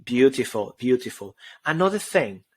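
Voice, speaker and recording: A man's voice: mid-range voice, big pitch swings, moderate pace, breathy edge. A man from Venezuela with a Venezuelan accent, co-hosting a podcast and giving public speaking tips in an enthusiastic, encouraging way. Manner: enthusiastic, encouraging, repeats key phrases. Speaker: co-host, speaking coach. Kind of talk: motivational podcast talk.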